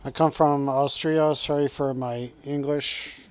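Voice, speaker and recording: Male streamer, relaxed running chat with viewers over gameplay, mid pitch. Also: measured pace, clean tone. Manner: chatty, reacts to chat and game, laughs often. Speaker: game streamer.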